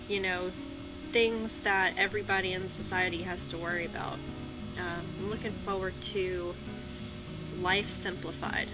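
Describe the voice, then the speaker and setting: A woman's voice: lowish voice, rough recording. A young woman answering interview questions outdoors by a lake in an earnest, thoughtful way, with guitar music behind. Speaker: interviewee, hiker. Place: outdoors by a lake among trees.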